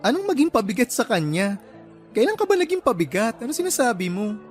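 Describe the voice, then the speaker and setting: A man playing a chatty, teasing young friend in a radio drama, expressive and gossipy. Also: very high voice, brisk. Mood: curious. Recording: music behind the voice.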